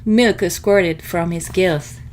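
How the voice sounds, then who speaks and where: A woman reading a picture book aloud to children in expressive narration. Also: fairly low pitch, takes it slowly, slight background noise. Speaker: storybook reader.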